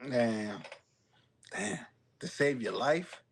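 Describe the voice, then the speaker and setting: A man's voice: mid-range voice, expressive, drawn-out words, gravelly, noisy background. A man co-hosting a podcast, chatting casually, teasing and laughing often. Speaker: podcast co-host. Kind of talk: casual chat.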